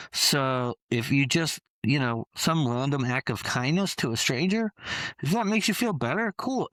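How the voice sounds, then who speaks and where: Man, interview guest, rambling and relaxed, um-filled, dry close sound. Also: mid-range voice, dry recording, poor audio. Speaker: interview guest, costumed performer. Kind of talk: casual interview conversation.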